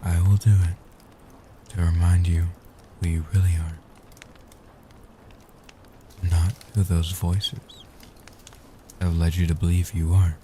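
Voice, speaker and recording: Very deep, dark, soft-spoken vampire guardian, acted by a man. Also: level tone, slight background noise. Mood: tender, reassuring. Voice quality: soft, hushed, dark low voice. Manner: slow, soothing, formal, solemn delivery. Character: gentle protective ancient male vampire guardian. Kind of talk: soft-spoken comfort audio roleplay.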